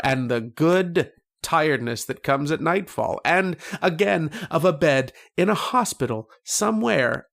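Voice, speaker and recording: Man acting tormented dreamer, old-time radio drama, creaky voice, unhurried halting delivery, brooding, poetic. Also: fairly high pitch, a bit bright, heavily acted. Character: tormented, homesick man named Troy trapped in a dream.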